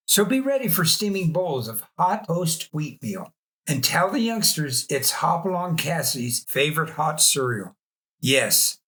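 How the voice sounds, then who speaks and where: A male announcer delivers a golden age radio commercial. His voice sits a little high, and he sells in a folksy, persuasive way. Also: a bit bright. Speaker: advertisement announcer. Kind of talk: 1950s radio commercial pitch. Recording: vintage radio commercial recording.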